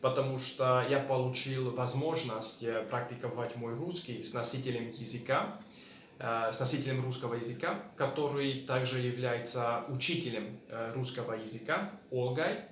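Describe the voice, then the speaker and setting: A man's voice: medium pitch, moderate pace, fairly bright tone, roomy sound. A man, not a native speaker, hosting a casual interview and speaking with frequent hesitant fillers. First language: not Russian. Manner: hesitant, many fillers between words. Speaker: host, language learner.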